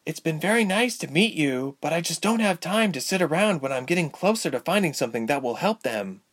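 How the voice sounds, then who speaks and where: A man reads as a young woman adventurer in a storybook. The pitch is raised and moves about freely. The delivery is earnest and impatient, polite but urgent. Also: lively intonation, fairly quick, a bit bright. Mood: determined. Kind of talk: storybook character voice.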